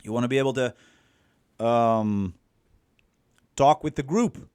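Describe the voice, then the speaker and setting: A man's voice: mid-range voice, unhurried, a little mellow, clean tone, dry recording, studio quality. A Dutch man with a Dutch accent, whose first language is Dutch, giving a rambling, profane, casual coaching talk on a livestream, full of fillers and restarts. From Netherlands. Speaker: dating coach.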